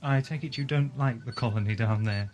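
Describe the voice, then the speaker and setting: A man's voice: mid-range voice, a little fast, darkish tone, natural voice. A man playing a wry, terse time-travelling adventurer in an audio drama. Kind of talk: radio drama acting. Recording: hissy amateur 1980s audio drama tape.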